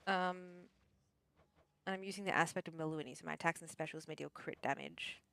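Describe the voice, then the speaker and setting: A woman's voice: low voice, normal speed, gravelly, a bit bright, dry recording. A woman chatting casually on a gaming livestream, thinking aloud as she talks herself through choices. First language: English. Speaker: streamer, therapist answering questions.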